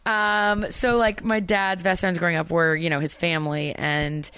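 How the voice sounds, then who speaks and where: Low smooth woman voice, actress rambling on with lots of likes and ums, super dry mic. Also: level tone, normal speed, fairly bright tone, no echo. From Maryland, USA. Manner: rambling, many fillers and restarts. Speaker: actress, guest. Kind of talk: casual interview chat.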